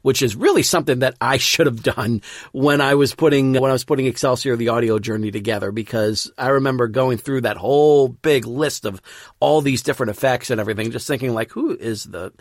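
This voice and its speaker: A man's voice: mid-range voice, a little fast, no echo. Man casually hosting, very dry sound. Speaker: podcast host and producer. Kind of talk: casual podcast introduction.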